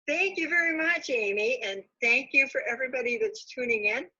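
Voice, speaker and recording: A woman's voice: high-pitched, animated, a little fast, sharp tone, slightly rough. A woman librarian reading a children's storybook aloud expressively, on dull lo-fi audio.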